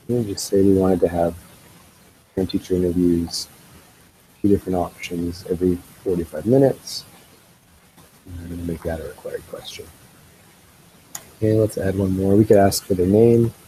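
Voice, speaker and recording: Guy with a stuffy head cold explaining stuff on a screencast, mellow low voice, bit of hiss. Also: poor audio. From Canada. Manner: relaxed, explanatory, with ums and uhs. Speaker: school tech trainer. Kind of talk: screen-share software tutorial.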